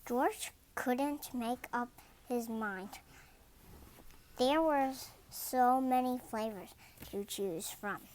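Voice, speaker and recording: A young-sounding reader narrating a children's story aloud, deliberately and word by word. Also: medium pitch, darkish tone, a little hiss or hum.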